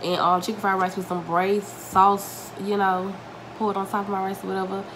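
A woman's voice: mid-range voice, level tone, a little fast. A young woman, chatting to viewers while eating, a little congested from a cold, recorded at home. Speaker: mukbang vlogger. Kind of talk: casual chat while eating. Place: home dining room, indoors. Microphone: camera mic at table distance. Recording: home recording with noticeable room noise.

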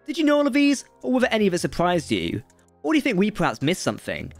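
High-pitched, animated male explainer narrator. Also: fast talker, no echo. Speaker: video presenter. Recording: dry voice-over with music underneath. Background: background music.

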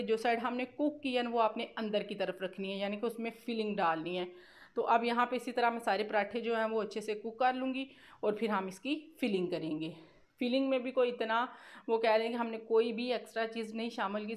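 Higher-pitched Pakistani woman explaining recipes. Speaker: cooking vlogger. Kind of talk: casual recipe voice-over.

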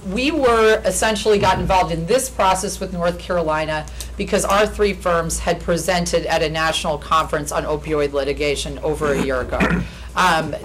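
A woman attorney with a naturally loud voice, making an earnest, persuasive presentation at a podium in a county meeting room. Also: low voice, a little hiss or hum, poor audio. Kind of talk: formal pitch presentation. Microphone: podium microphone.

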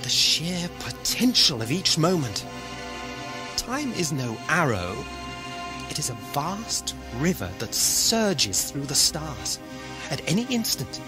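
A man's voice: a little high, sing-song, slow, over music. A man playing a philosophical, defiant alien traveler in an audio drama, declaiming in a literary, sardonic way. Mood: intense, philosophical. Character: wandering alien Doctor, poetic, philosophical, defiant, male. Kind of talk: theatrical audio drama acting.

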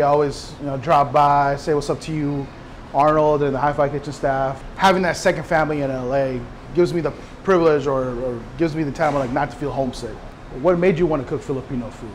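A man's voice: a little high, a little hiss or hum, low-quality recording. A young man from Houston whose first language is Tagalog, hosting a documentary and chatting in a friendly, agreeing way. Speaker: documentary host. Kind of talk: casual conversation.

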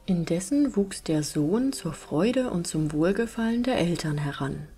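A woman's voice: on the low side, animated, measured pace, husky. A woman reading a fairy tale aloud as scripted audiobook narration. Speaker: storyteller, audiobook reader. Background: soft music.

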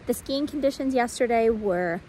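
A woman's voice: a little high, expressive, normal speed, mellow, a little hiss or hum, rough recording. A young American woman chatting casually to the camera as a vlogger, recorded on a phone at arm's length. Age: young adult. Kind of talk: casual vlog chat to camera.

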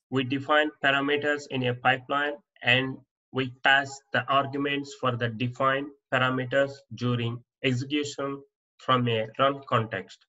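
A man reads through interview answers in a plain, steady voice-over. His tone is bright and clear. The recording sounds a little dull and lo-fi. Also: mid-range voice. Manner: plain, steady reading with small restarts. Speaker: instructor. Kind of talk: reading interview answers off slides. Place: voice-over on slide presentation.

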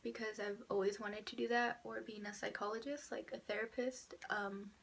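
Young woman of eighteen, stuffed up from a cold, chatty and sarcastic, bright voice with vocal fry, small room, faint traffic behind. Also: a little high. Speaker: vlogger introducing herself. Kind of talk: casual chat to camera. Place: small indoor room by a door. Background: passing cars.

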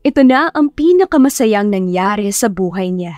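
A woman's voice: mid-range voice, lively intonation, moderate pace, a little mellow, no room sound, faint music behind. A woman narrating a scripted romantic story as an audiobook narrator.

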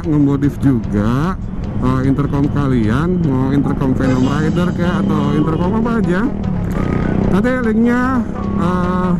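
A man's voice: on the high side, poor audio. A man with a Jakartan Indonesian accent talking casually and slangily as a motovlog host, riding through traffic on a helmet intercom mic. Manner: colloquial, slangy. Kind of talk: casual vlog talk while riding a scooter. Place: outdoors on a scooter in city traffic. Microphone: helmet intercom recorded into action camera. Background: music, vehicles in traffic.

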